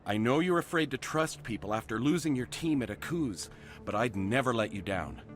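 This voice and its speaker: An actor playing an eager, starstruck fan of a space hero in a video game, gushing and earnest. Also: male voice, mid-range voice, lively intonation, normal speed, faint music behind, lightly acted. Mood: pleading.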